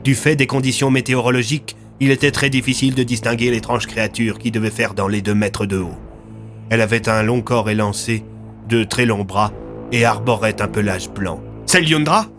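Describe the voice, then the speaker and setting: A man's voice: on the low side, level tone, fairly quick, fairly bright tone. A man narrating a scripted audio fiction as a storyteller. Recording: music under the voice.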